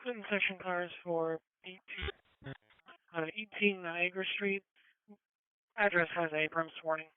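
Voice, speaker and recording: A man's voice: high voice, measured pace, long pauses, smooth. A man dispatching in terse phrases over police radio. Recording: thin, narrow-band scanner radio.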